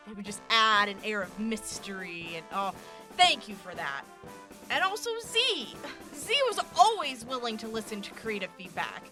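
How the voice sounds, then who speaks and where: A woman voice actor giving a heartfelt, grateful thank-you, with halting restarts. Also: mid-range voice, sing-song, over music. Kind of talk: casual thank-you message.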